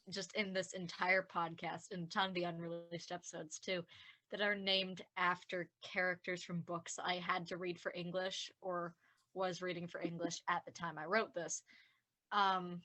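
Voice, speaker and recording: A woman's voice: on the low side, sharp tone. A young woman chatting casually and self-deprecatingly as a podcast writer in a group discussion. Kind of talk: casual post-show group chat.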